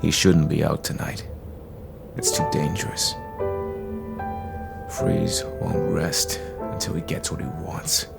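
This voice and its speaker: A man playing a grim, stubborn, ailing older masked vigilante, terse and gravelly, in an audio drama. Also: big pitch swings, slow, pauses often, over loud music, full character voice. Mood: brooding. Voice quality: deep gravelly growl. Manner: terse, brooding, defiant.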